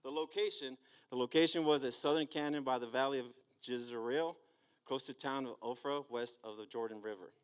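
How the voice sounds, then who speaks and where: A man's voice: mid-range voice, fairly even intonation, a little fast, clear, resonant tone. Casual man giving a Bible talk. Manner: casual, checks in with "right?" and "okay?". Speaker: church speaker.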